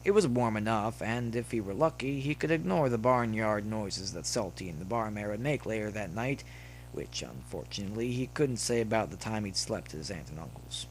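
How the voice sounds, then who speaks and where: A man's voice: lowish voice, expressive, a little fast. Man narrating a story, noisy recording. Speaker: narrator reading fan fiction. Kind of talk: expressive scripted story narration.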